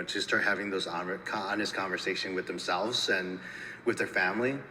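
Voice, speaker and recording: A man with a low, forceful voice speaks as a filmmaker at a festival interview. He talks haltingly and doubles back on words. The sound is echoey, like a hall, with faint noise around him. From United States (Minnesota). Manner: halting, repeats words, peppers talk with "you know". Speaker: filmmaker and actor.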